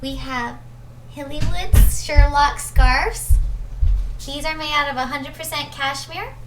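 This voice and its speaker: A young woman co-hosting a casual live stream, bubbly and excitable, chatting with viewers and reading out their comments. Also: high-pitched, takes it slowly, music in the background, poor audio. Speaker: co-host of a web show.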